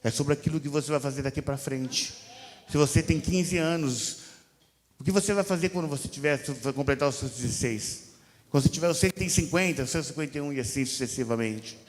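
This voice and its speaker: A man's voice: fairly high pitch, a little fast. A Brazilian man in his fifties, a pastor, delivering a conversational, emphatic sermon with repetition and rhetorical questions to his listeners. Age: 50s. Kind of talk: sermon, conversational exhortation to a congregation. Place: church hall. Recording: reverberant hall with music underneath. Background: music.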